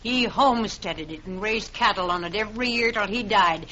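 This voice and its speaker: A woman's voice: mid-range voice, normal speed, bright, forceful tone, close to an everyday voice. An actress playing a defiant, middle-aged frontier widow who refuses to leave her land, in a 1950s radio drama. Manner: defiant, clipped, scornful. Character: stubborn widowed frontier homesteader woman. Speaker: landowner holding a rifle. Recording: dull old radio recording with faint hiss.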